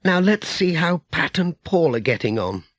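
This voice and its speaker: A friendly middle-aged village woman from a children's storybook, acted out by a male reader. The voice is raised high and swings up and down, with a brisk, motherly, reassuring manner and plenty of cheerful chat. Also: very animated, no echo, studio quality.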